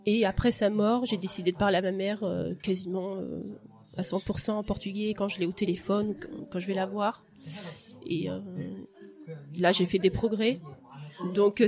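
A woman giving a personal testimony, reflective and hesitant, with frequent pauses. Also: mid-range voice, darkish tone. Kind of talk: testimony interview.

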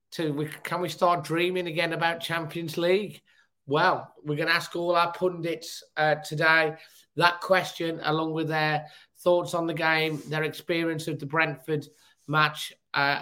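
Brief: man, hoarse from a cold, chatty fan-show host, slightly high and flat-toned. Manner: chatty, upbeat, fan talk. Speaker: football podcast host. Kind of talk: casual chat.

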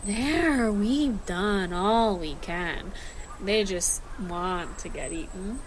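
A woman's voice: mid-range voice, extremely slow, a little hiss or hum, low-quality recording. A woman playing a short-tempered young female werewolf in an audio drama, blunt and irritable with a drawl. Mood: grim, resigned.

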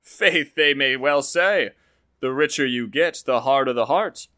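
A man's voice: mid-range voice, measured pace, bright, forceful tone. A man voicing a greedy neighbor who coaxes and preaches. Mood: coaxing. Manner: preachy, coaxing. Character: greedy sanctimonious male neighbor.

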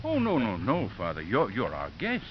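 An actor playing a courteous, dignified cardinal in a 1950s radio drama, measured and gracious. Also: male voice, fairly low pitch, wildly swooping pitch. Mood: genial. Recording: muffled, lightly noisy 1950s radio recording.

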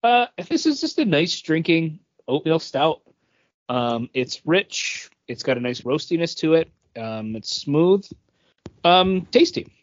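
Man, casual podcast co-host, expressive varied pitch, mid register, dull lo-fi recording. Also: slow pace.

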